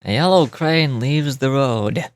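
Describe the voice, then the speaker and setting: A woman's voice: extremely low pitch, lively intonation, measured pace, no echo, clean studio sound. A woman voicing a shady, suspicious smuggler from a game with a menacing, accusing manner.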